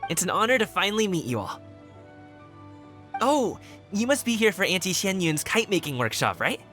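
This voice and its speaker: A man's voice: high voice, sing-song, normal speed, pushed and bright, faint music behind, full character voice. A man playing a friendly, bashful, enthusiastic young male guard in a game, casual in manner. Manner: casual, awkwardly chuckly, enthusiastic.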